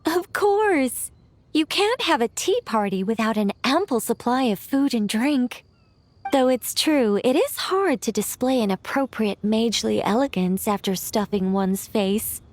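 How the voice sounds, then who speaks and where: A woman's voice: fairly high pitch, animated, a little slow. A woman playing a genteel, hospitable witch in a video game, polite, precise and faintly prim. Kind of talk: video game cutscene acting.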